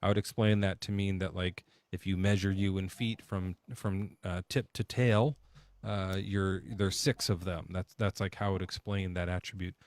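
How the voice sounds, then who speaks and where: Low-voiced joking male debate host. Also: steady tone, moderate pace, a little mellow, no room sound. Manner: joking, sarcastic questioning. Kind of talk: casual debate call-in.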